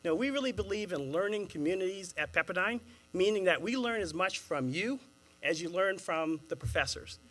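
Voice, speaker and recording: Lively Boston-accented moderator, high voice. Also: male voice, normal speed. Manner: chatty, animated, laughs at his own jokes. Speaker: panel moderator. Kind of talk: engaging address to a live audience.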